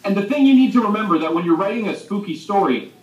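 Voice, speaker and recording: Roomy, distant, higher-voiced man addressing children. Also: animated, fast, darkish tone, dull audio. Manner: enthusiastic, addresses children directly. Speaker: children's author presenting his book to a class. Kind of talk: animated school talk and storybook reading. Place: video call played into a school library. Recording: roomy, distant video-call sound.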